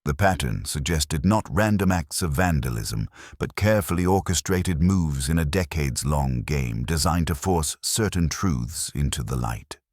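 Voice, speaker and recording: Very low male voice, lively intonation, scripted mystery narration, measured and formal, dry close sound. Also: dry recording.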